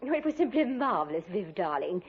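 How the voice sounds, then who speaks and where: A woman's voice: medium pitch, big pitch swings, fairly quick, breathy edge. An actress playing a gushing, affected society woman at a party in a 1950s radio drama. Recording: tinny old radio recording with crowd.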